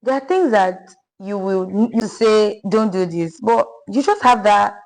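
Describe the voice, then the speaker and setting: Young woman, podcast guest, polite, loud voice, mid-pitched. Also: measured pace, rough recording. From Nigeria. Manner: polite, says ma'am, loud voice. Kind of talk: podcast interview.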